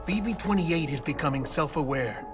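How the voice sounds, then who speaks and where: An actor playing a smooth-talking, clinical scientist in a cinematic video game, explaining things in theatrical, precise phrasing. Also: male voice, a little high, animated, normal speed, over music, lightly acted. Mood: insistent, clinical. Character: fussy, smooth-talking male scientist-doctor, clinical and secretive.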